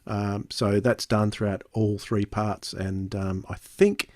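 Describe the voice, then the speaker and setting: A man with a lowish voice chats casually as a podcast reviewer. He repeats words as he thinks, and the sound is close and dry. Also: little pitch movement, slow pace, no echo.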